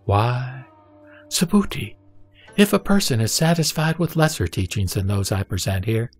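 A man playing the Buddha as a serene, solemn teacher, reciting scripture in measured, deliberate phrases for an audio drama. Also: mid-range voice, animated, close to an everyday voice. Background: music.

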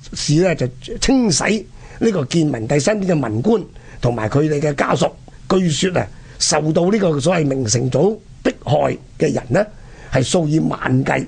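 A man with a husky, gravelly voice and a Hong Kong Cantonese accent hosts, telling history in colloquial, emphatic style with rhetorical questions. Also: on the high side, a little mellow. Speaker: radio programme host. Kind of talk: casual spoken history storytelling.

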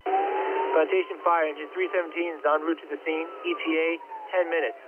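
A man's voice: fairly high pitch, level tone, brisk. A man making firefighter dispatch calls over a two-way radio from a moving truck. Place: cab of a moving fire truck. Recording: tinny radio, loud siren and engine noise.

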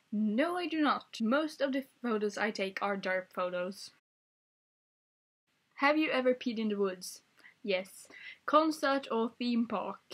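A woman's voice: on the high side, fairly bright tone. A young woman whose first language is Swedish, chatting playfully in her bedroom into a webcam and laughing often. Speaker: vlogger. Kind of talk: casual tag video chat. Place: small bedroom, indoors. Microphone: webcam at desk.

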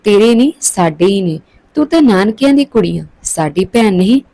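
A woman's voice: medium pitch, lively intonation, moderate pace, mellow, poor audio. A woman voicing a bratty young girl with a defiant, taunting manner in a story.

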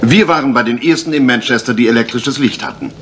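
A man's voice: medium pitch, fast talker, fairly bright tone, lightly acted. A man playing a grumbling husband staying as a guest in a German radio crime drama. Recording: slightly noisy old radio play recording.

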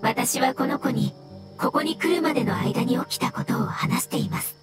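A woman playing a gentle, polite water fungus spirit in a game, speaking formally and with measured calm. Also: high-pitched. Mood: grateful, calm. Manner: formal, measured, translating calmly. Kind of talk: scripted game dialogue in Japanese voice acting. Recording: music under the voice.

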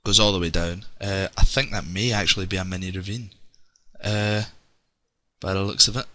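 A man's voice: deep voice, fairly even intonation, moderate pace, bright, forceful tone. A young Scottish man chatting casually with lots of ums while narrating his gameplay. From Scotland. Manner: rambling, chatty, many ums. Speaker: let's play gamer. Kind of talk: casual game commentary.

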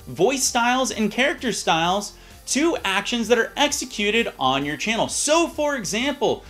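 A man in his thirties giving an upbeat, casual instructional software tutorial. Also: sits high, big pitch swings, normal speed, faint music behind. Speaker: tutorial host. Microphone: USB studio microphone.

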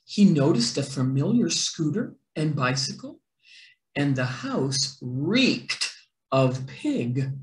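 A middle-aged woman narrates a picture book with theatrical expression. Her pitch swings widely while the pace stays slow and deliberate. The small room gives her voice a clear echo. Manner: theatrical, deliberate, stressed words. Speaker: children's read-aloud presenter. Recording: roomy indoor echo.

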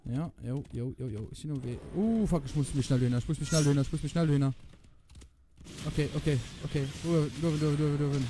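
A man giving casual, excitable live gameplay commentary, often repeating phrases and swearing. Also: on the high side, a little fast. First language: Luxembourgish. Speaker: gamer recording a let's play. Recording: clear game noise behind. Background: video game sounds.

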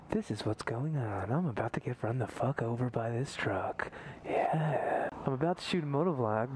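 A man's voice: mid-range voice, darkish tone, poor audio. A young man riding a motorcycle through suburban streets, talking crudely and jokingly into a helmet-mounted camera mic. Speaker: motovlogger. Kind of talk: casual riding vlog. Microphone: helmet-mounted action camera mic. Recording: wind and engine noise on a helmet mic.

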